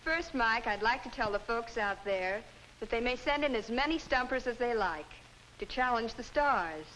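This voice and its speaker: A woman reads a scripted game show announcement in a bright, fairly high voice. It sounds like a 1950s TV broadcast, with a little noise underneath. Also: lively intonation, normal speed, rough recording.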